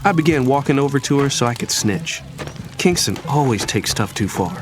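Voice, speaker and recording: A young actor playing an idealistic younger brother tells his story in first person. His pitch sits in an ordinary male range but moves with lively inflection, over faint music. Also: moderate pace, lightly acted. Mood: reflective, storytelling. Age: young adult. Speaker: narrator and character. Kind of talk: first-person scripted audio drama narration.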